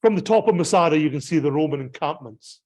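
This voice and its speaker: A man's voice: on the high side, expressive, quick pace, darkish tone. A Scottish man, a pastor, giving a digressive slideshow talk about a pilgrimage, full of ums and restarts. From Scotland.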